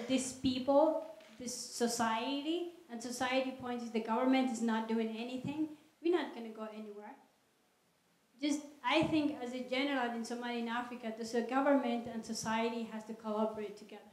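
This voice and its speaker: A woman answering audience questions at a lecture in an earnest, measured way, speaking into a handheld microphone in a large hall. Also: on the high side. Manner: earnest, measured, non-native phrasing. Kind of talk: public Q&A talk. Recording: echoey hall.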